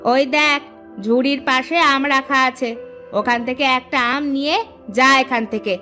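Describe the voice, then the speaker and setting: A woman's voice: sits high, fairly even intonation, measured pace, bright, forceful tone, soft background music. A woman voicing a bossy, spiteful village stepmother in a cartoon story, scolding as she talks.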